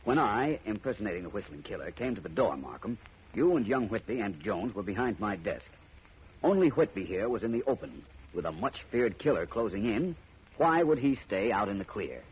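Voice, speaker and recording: An actor playing a suave, cool-headed amateur detective, speaking in a smooth, assured manner in 1940s radio acting. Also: male voice, on the low side, big pitch swings, brisk. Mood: confident. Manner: smooth, assured, explaining with relish. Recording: muffled old radio broadcast, faint hiss.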